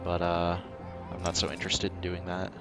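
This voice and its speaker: A man with a low voice chats casually while livestreaming his game, talking himself through each step in a wry, laid-back way. Game music plays faintly behind him. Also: monotone, moderate pace. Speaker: livestreamer playing a video game. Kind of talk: casual gaming livestream commentary. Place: indoors at a computer.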